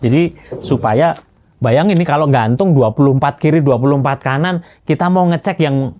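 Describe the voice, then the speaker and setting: A man's voice: fairly high pitch, moderate pace, darkish tone, dry recording. Man hosting casually, dry close sound. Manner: colloquial, recaps and prompts the guest. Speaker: host interviewer. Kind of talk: casual interview chat.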